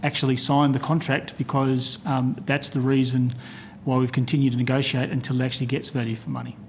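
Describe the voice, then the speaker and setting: A man's voice: medium pitch, flat delivery, a little fast, slight background noise. A man giving formal testimony as an official, careful, hesitant and deferential. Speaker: official answering at a hearing. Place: committee hearing room.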